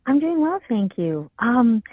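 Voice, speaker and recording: A woman's voice: a little high, big pitch swings, unhurried, breathy edge, dry recording. A native Spanish-speaking woman from Venezuela chatting casually as a caller to a show. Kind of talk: casual chat. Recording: dull lo-fi call audio.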